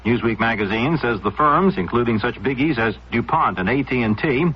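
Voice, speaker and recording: A man with an American accent reading scripted radio news in a formal, measured way. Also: medium pitch, lively intonation, a little hiss or hum. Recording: dull vintage radio sound.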